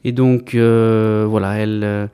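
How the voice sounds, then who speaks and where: Man in his 30s, priest being interviewed, low-ish mellow voice, halting delivery with lots of fillers. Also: little pitch movement, clean tone. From Greece, raised in Belgium. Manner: hesitant, many fillers and restarts. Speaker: Orthodox priest. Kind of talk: interview answers.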